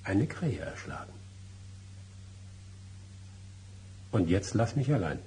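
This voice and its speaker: An actor playing a secretive, brooding, superstitious middle-aged husband in a German radio drama, grave and insistent in manner. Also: male voice, deep voice, sing-song, moderate pace, music in the background. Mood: grim.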